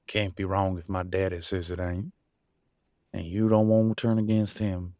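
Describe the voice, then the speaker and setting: A man playing a conflicted werewolf pack alpha in an audio roleplay, arguing emphatically in colloquial, sweary speech with dramatic pauses. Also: low voice, flat delivery, darkish tone, dry recording, clean studio sound. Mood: defiant. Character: Damien, conflicted male werewolf pack alpha, defiant and remorseful. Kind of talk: scripted audio roleplay, one side of an argument.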